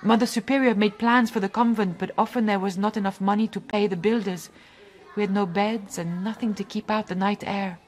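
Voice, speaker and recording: An actress playing a devoted, chatty nun in a radio drama. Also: female voice, medium pitch, fairly even intonation, fairly quick, a little hiss or hum, natural voice. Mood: fond, reminiscing. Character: devoted, chatty French nun, gently self-reproaching.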